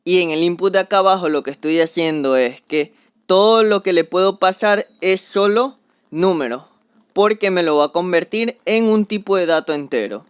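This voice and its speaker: A young man walks through a programming lesson one step at a time, narrating each action as he does it. His voice is a little high, smooth and clear, recorded dry and close on a desk microphone. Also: moderate pace, no room sound. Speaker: programming tutor. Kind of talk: screen-recorded coding tutorial.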